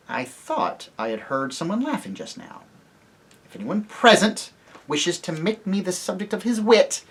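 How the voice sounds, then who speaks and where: A storybook narrator voicing a small, proud mouse knight with a shrill voice and courtly, formal manner. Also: slightly rough, full character voice. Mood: courtly, touchy.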